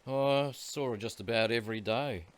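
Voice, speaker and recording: A man's voice: mid-range voice, normal speed, lightly acted. A man playing a chatty, matter-of-fact shop owner and witness in a radio play. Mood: chatty, certain.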